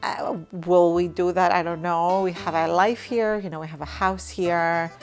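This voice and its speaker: A woman's voice: fairly low pitch, steady tone, normal speed, soft background music. A woman in her thirties, raised in Greece, sitting on a living room sofa and reflecting thoughtfully in a documentary interview, often checking in with "right?". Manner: thoughtful, frequent restarts and "right?" tags.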